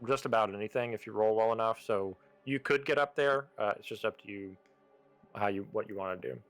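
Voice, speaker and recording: Halting man running a tabletop game. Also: on the low side, fairly bright tone, faint music behind. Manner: loose, halting, many fillers. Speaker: game master.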